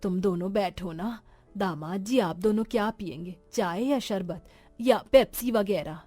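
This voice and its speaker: A woman's voice: mid-range voice, unhurried, warm tone, faint music behind, close to an everyday voice. A woman voicing a poor, long-suffering but loving old village mother in a cartoon story, with a plaintive, pleading manner. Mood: welcoming.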